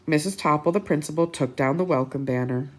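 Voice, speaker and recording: A woman reading a picture book aloud to children expressively, pausing for asides and questions to her young listeners. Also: deep voice. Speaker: children's storytime host.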